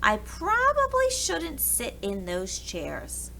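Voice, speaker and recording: A woman's voice: sits high, huge pitch swings, slow pace, vocal fry, sharp tone, slight background noise, voice pushed up, exaggerated voice. A woman voicing a curious, lost little girl from a storybook in a plaintive, hesitant way.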